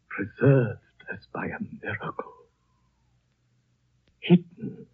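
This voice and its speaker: An actor playing an ancient, dying High Lama in a 1930s radio drama, gentle and prophetic, speaking solemnly in a frail, husky, hushed voice. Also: male voice, exaggerated voice. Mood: prophetic, fading. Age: older. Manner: slow, solemn, hushed, with long pauses. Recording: muffled, band-limited 1930s radio recording.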